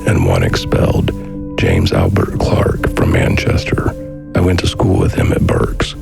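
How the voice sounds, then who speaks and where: A man reading scripted documentary narration in a measured, formal manner. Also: low-pitched, creaky, a little mellow. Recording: faint music behind the voice. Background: faint background music.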